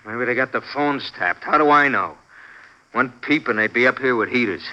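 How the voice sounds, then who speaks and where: An edgy, sharp-voiced young hoodlum. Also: male voice, medium pitch, moderate pace. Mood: anxious, confessing. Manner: curt, streetwise slang. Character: edgy, cornered young hoodlum, surly and scared. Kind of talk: 1950s radio crime drama acting. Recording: dull vintage radio broadcast recording.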